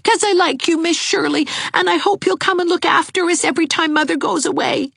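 A woman's voice: fairly quick, vocal fry, a bit bright, no room sound, clean studio sound, heavily acted. Woman doing a cheeky little boy. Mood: eager. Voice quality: high, boyish.

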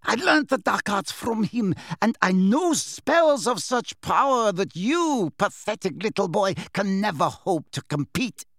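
A cruel, fanatical witch from a storybook, acted out by a man. The voice is pushed high and shrill, rough and raspy, and it swoops widely. She is theatrical, taunting and full of menace. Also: lively intonation, measured pace, few pauses, fairly bright tone, dry recording, clean studio sound, heavily acted. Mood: menacing, taunting.

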